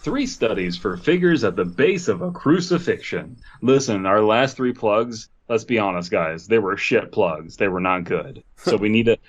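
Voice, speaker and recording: A man's voice: fairly low pitch, animated, moderate pace, hardly any pauses, lo-fi sound. A man co-hosting a comedy podcast with irreverent, sweary mock-lecturing. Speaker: podcast co-host. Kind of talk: crude comic banter, mock lecture.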